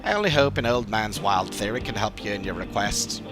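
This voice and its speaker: A man voicing a courteous, scholarly old father in a fantasy game, speaking in a formal, measured, old-fashioned way. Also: on the low side, lively intonation, over music, close to an everyday voice. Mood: regretful, grateful. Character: courteous old father, scholarly theorist recovering from long illness. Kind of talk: fantasy game dialogue read aloud.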